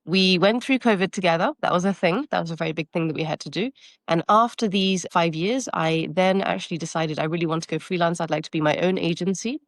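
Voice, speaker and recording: Soft-spoken woman, dry close mic. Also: on the low side, fast talker, no echo. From France. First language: Taiwanese. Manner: reflective, with fillers and restarts. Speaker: marketing consultant and guest. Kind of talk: conversational interview answers.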